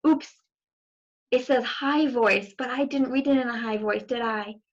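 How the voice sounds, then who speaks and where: A woman's voice: a little high, a little slow, highs cut off. A woman reading a children's story aloud expressively, with asides to young listeners. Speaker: storybook reader.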